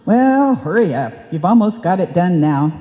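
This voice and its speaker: A woman playing a patient, gently teasing wife in an old-time radio comedy, with an unhurried, wry delivery. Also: lowish voice, big pitch swings, highs cut off. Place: live performance in a reverberant hall. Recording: echoey hall, live stage reverb.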